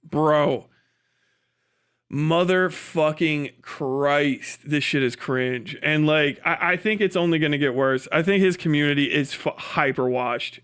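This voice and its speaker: Male streamer, high voice, profane and opinionated, casual emphatic commentary. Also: unhurried, fairly bright tone, clear, resonant tone. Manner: profane, emphatic, opinionated, repeats words for stress. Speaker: livestream host.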